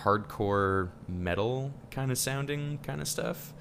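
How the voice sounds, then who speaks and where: A man's voice: mid-range voice, animated, takes it slowly, a bit bright, a little hiss or hum. A man chatting enthusiastically as host, nerdy and curious, with lots of ums. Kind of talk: casual chat.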